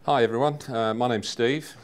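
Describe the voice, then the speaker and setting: A man in his fifties giving a personal testimonial in a measured, earnest way. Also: medium pitch, fairly even intonation, clean tone. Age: 50s. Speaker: seminar attendee giving a testimonial.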